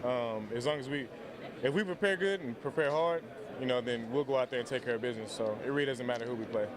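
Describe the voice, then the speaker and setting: A man's voice: medium pitch, fast. A young man, a college football player, answering casually and conversationally in a sports interview. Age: young adult. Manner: conversational, many you-knows. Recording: outdoor interview with crowd noise.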